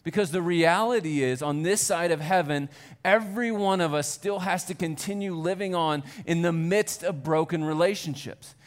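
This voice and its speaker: A pastor preaching a Sunday sermon in a church, conversational and self-deprecating, building to earnest fervor. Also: male voice, on the high side, moderate pace.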